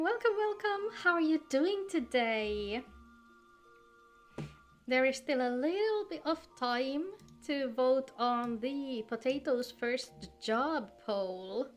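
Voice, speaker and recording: Female game streamer, higher-pitched voice, chatty and informal, music underneath. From Finland. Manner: chatty, greets viewers by name. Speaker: game livestreamer. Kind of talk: casual livestream chat with viewers.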